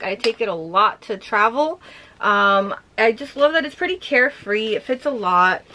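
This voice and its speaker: A young woman chatting casually as she shows off items from her collection, gushing with lots of filler words and a slightly hoarse voice. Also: on the high side, pushed and bright, a little hiss or hum, poor audio. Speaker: vlogger showing her collection. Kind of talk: casual show-and-tell chat.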